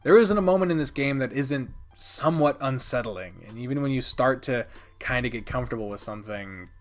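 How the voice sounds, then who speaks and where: A man's voice: mid-range voice, animated, a little fast, faint music behind. A man giving opinionated, conversational review commentary as a video creator, speaking emphatically with dramatic build-ups and casual swearing. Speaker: video creator ranking favorite games.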